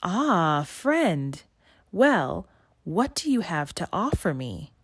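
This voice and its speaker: A scheming, sinister sea witch from a children's storybook, acted out by a woman narrator. The voice is low and rasping, and the words come slowly, with menace and command. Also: big pitch swings, no room sound, heavily acted. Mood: probing, menacing. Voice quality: croaky, rasping.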